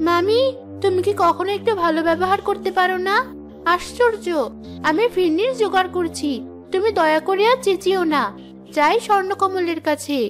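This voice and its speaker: A woman's voice: very high-pitched, moderate pace, soft background music. A woman voicing a kind, hardworking young village girl in a cartoon, earnest and sweet. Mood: put-upon. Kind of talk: children's cartoon voice acting.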